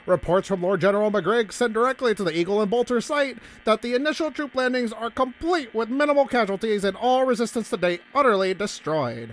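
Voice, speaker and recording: High-pitched bombastic propaganda announcer. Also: male voice, poor audio. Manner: pompous, proclaiming. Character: bombastic propaganda announcer for a grim space empire. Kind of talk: wartime newsreel-style propaganda broadcast. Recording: clear background noise.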